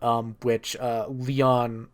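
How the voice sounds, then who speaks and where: A man's voice: medium pitch, little pitch movement, very slow. A man giving a casual, unscripted video game recap in a rambling, slangy way with plenty of swearing. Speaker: video creator.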